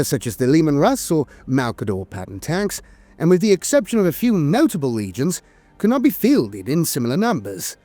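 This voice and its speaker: A man reading scripted lore narration as an in-world chronicler, formal and measured, with frequent hesitations. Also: fairly high pitch, darkish tone.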